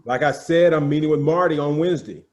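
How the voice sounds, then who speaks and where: An actor playing a dry, sarcastic, evasive treasurer in a staged play reading over a video call. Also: male voice, on the high side, fast talker, a little mellow, reverberant, close to an everyday voice. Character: sardonic, evasive male treasurer.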